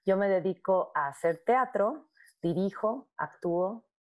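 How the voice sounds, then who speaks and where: A woman who works in theatre as director and actress, answering interview questions in a reflective way, pausing often and repeating words as she thinks. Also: mid-range voice, expressive. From born in Argentina, raised in Mexico.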